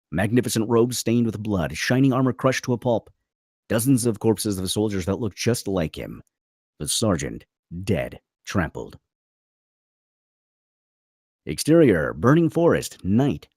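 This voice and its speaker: A man's voice: fairly low pitch, darkish tone, dry recording, clean studio sound. A man reading screenplay stage directions as the narrator of a table read.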